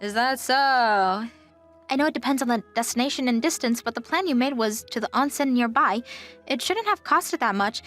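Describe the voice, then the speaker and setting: A woman playing a kind, professional young travel agent in a manga dub, gently firm, polite and composed. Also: fairly high pitch, animated, normal speed, soft background music. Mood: probing, firm. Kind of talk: anime-style manga dub acting.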